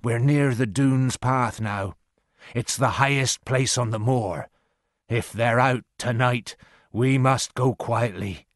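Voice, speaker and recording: A man's voice: medium pitch, slow, no room sound, studio quality, natural voice. A man voicing an evasive, blunt country servant in storybook dialogue, with a guarded, curt manner. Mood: wary, hushed urgency. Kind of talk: acted audiobook dialogue.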